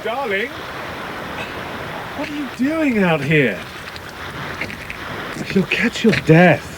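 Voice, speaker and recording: A man acting in a radio drama as a protective, troubled husband, speaking with hushed urgency. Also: high-pitched, big pitch swings, slow pace, exaggerated voice. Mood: soothing, wry. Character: protective, troubled husband soothing his wife. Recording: clear background noise and ambience.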